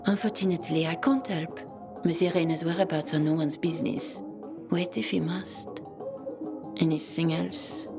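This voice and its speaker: An actress plays a cool, evasive female assistant in a video game, with a low voice. She is formal and curt, with a sardonic, French-flavored turn of phrase. Music plays clearly behind her. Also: fairly even intonation, moderate pace, lightly acted. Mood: cool, dismissive. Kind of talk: video game dialogue acting.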